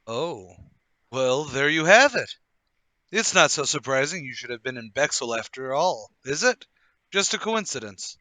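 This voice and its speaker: An actor playing a brisk, self-assured, well-to-do gentleman, emphatic and a little patronising, in a table read of a period murder mystery. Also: mid-range voice, very animated, dry recording. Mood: probing, reassuring.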